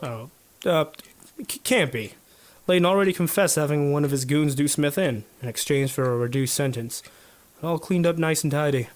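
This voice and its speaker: A man's voice: fairly high pitch, normal speed, natural voice. A man playing an earnest, trusting 1950s policeman in a noir radio drama, speaking in a hesitant, conversational way. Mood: relieved, unsure.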